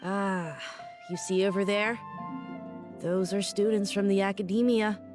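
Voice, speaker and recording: A woman's voice: mid-range voice, level tone, a little slow, over music, natural voice. An actress playing a friendly, talkative woman merchant at a busy port in a game cutscene. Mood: chatty. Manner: welcoming, talkative.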